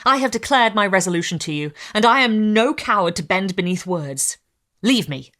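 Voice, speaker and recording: Woman doing a young man scientist, furious and resolute, loud defiance in a storybook reading. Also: medium pitch, animated, moderate pace, lightly acted.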